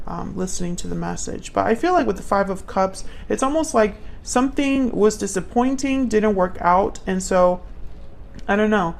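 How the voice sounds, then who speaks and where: A woman's voice: medium pitch, lively intonation, moderate pace, gravelly. A woman doing a casual, intuitive tarot reading, thinking aloud as she talks directly to the listener. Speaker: tarot reader, channel host.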